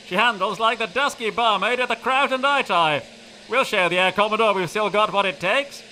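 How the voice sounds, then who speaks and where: A man's voice: sits high, moderate pace, pushed and bright, slight background noise, poor audio. A man playing a dashing, brash British flying ace, full of breezy upper-class banter in a comic radio play. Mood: jaunty.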